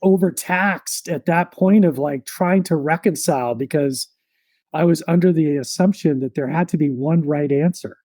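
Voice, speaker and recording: Relaxed guy on a podcast, warm low-key voice, lots of "you know" and "like", dry room. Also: normal speed, no echo. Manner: relaxed, reflective, rambling with many fillers. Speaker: podcast guest. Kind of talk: storytelling in a podcast interview.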